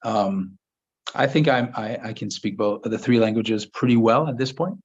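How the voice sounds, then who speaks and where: A man whose first language is French, hosting a casual live-stream Q&A and answering viewers' questions in a relaxed, rambling way with many fillers. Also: lowish voice, moderate pace, husky, highs cut off. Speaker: host of a live Q&A. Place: home office in Southern Ecuador.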